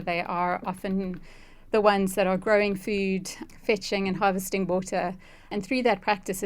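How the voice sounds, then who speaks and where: A woman's voice: medium pitch, smooth. A South African woman giving thoughtful, earnest answers as an interview guest. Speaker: interview guest, conservation ecologist.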